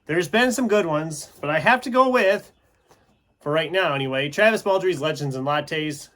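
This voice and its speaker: Rambling man chatting, slightly high-pitched voice. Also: normal speed, fairly bright tone. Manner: rambling, self-interrupting, conversational. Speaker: book reviewer video host. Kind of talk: casual unscripted chat to camera.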